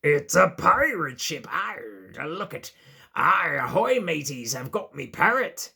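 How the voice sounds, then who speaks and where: A woman's voice: sits low, big pitch swings, slow, creaky, sharp tone, slightly rough, heavily acted. A woman voicing a boy bunny playing a jolly pirate with a stage pirate accent in a picture book. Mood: playful, hearty. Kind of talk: picture book read-aloud.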